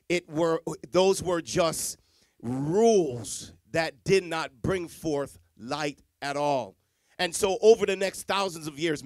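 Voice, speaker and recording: Middle-aged preacher from Jamaica, high voice, dry close sound, handheld mic, dramatic hushed-to-loud delivery. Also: male voice, no room sound. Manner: dramatic swings from hushed to loud, repetitive emphasis. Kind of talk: sermon. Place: church stage with Christmas decor, indoors. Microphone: handheld microphone.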